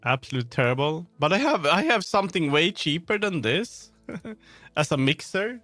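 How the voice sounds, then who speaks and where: A man's voice: a little high, sing-song, normal speed, fairly bright tone, no room sound. A man livestreaming, chatting casually and informally about tech gear, with frequent swearing. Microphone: streaming microphone on a sound card. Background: music.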